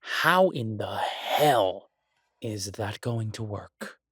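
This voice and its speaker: A man's voice: fairly low pitch, animated, extremely slow, a bit bright, breathy edge, no room sound, studio quality, full character voice. A man playing an exasperated yet affectionate chef boyfriend in a roleplay audio, ranting incredulously and conversationally to his partner. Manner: ranting, incredulous, emphatic with repeated words. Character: exasperated but affectionate human boyfriend, restaurant chef for supernatural guests.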